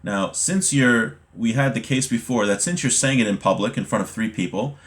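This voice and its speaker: A man's voice: mid-range voice, normal speed, a bit bright. Man teaching a religious text class. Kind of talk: explanatory lesson, reading Hebrew text then translating.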